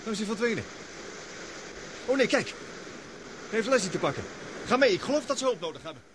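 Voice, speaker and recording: Anxious expedition guy, high voice, talks fast, radio drama, noisy background. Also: big pitch swings. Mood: alarmed. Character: anxious, alert expedition member raising alarm. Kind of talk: Dutch radio drama acting.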